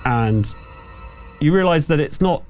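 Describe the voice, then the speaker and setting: A man narrating as game master of a tabletop horror game, improvising and explaining rules with halting pauses. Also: a little high, lively intonation, a little mellow, soft background music.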